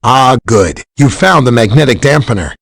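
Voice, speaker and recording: Male scientist superhero, husky medium voice, helpful, earnest, technical, video game dialogue. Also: medium pitch, animated, moderate pace, a bit bright, full character voice. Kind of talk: scripted game dialogue, explaining and briefing.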